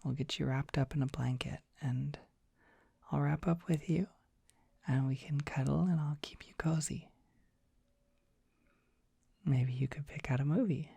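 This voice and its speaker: A performer voicing a gentle, shy young dragon boy in an ASMR comfort roleplay, speaking in a soft breathy whisper with a hesitant, tender, soothing manner. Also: medium pitch, a little slow, pauses often, no room sound. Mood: tender concern.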